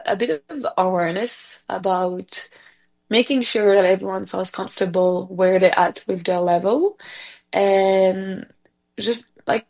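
A woman from Belgium speaking English with a Belgian French accent, her first language being French. Her voice has a gravelly, creaky edge. She talks in a chatty way, full of fillers and restarts. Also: mid-range voice, darkish tone. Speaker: executive director, guest. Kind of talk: casual interview chat.

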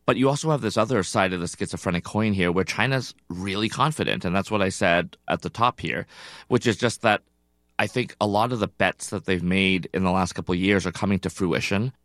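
Male analyst guest, Canadian accent, lowish voice, dry close sound, conversational and emphatic. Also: brisk, a bit bright, no echo. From Toronto, Canada. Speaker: interview guest, China analyst. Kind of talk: conversational explanatory commentary.

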